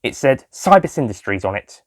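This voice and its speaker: A man's voice: mid-range voice, sing-song, fairly quick, dry recording, clean studio sound. A man reading scripted sci-fi fiction as its author and narrator.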